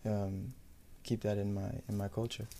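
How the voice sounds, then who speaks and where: A young man from the United States speaks as an athlete in a casual interview. His voice sits fairly low and stays quite flat in pitch. He answers in a measured, matter-of-fact way, with a soft, mellow tone. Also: a little hiss or hum. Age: young adult. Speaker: professional footballer being interviewed.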